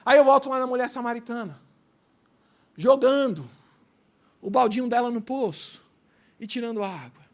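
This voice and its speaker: A Brazilian man, a pastor, preaching a sermon in a church and telling stories, dropping to a hush and then rising loud. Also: very high-pitched, normal speed, long pauses. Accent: Brazilian Portuguese. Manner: storytelling preacher, swings between hushed and loud. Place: church sanctuary.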